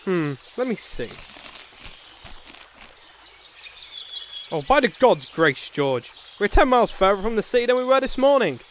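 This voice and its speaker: A man's voice: sits high, lively intonation, fairly quick, fairly bright tone, slight background noise. A man playing a pompous, stubborn noble knight in a comedy fantasy sketch, haughty and indignant. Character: pompous, blustering noble knight, proud and obstinate.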